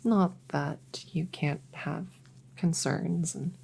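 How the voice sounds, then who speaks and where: A woman playing a gentle, reassuring witch veterinarian in a soft-spoken ASMR roleplay, soothing and a little hesitant as she chats to her patient. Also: deep voice, steady tone, slow pace, gravelly, slightly rough, heavily acted. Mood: calm, attentive. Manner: soothing, hesitant, chatty bedside manner.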